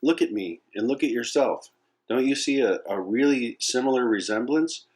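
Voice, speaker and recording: Man voicing an insistent big lion, raised pitch. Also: animated, normal speed, darkish tone, lightly acted. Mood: persuasive. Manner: emphatic, urging. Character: big adult male lion, insistent, questioning mentor.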